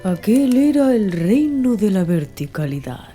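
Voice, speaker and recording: A woman's voice: medium pitch, sing-song, unhurried, dark tone. A woman reading literary prose as an audiobook narrator in a scripted storytelling style. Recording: music under the voice. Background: background music.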